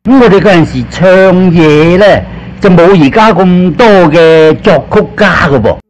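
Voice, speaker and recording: A man's voice: fairly high pitch, expressive, unhurried, rough recording. An older man with a Cantonese accent, casually reminiscing in an interview as a chatty storyteller. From Guangzhou, China. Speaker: interviewee, veteran actor.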